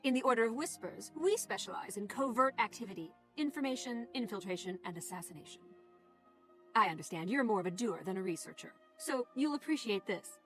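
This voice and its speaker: A woman's voice: mid-range voice, lively intonation, fairly quick, fairly bright tone, faint music behind, close to an everyday voice. Woman as cool, sly little spy. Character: cool female gnomish spy.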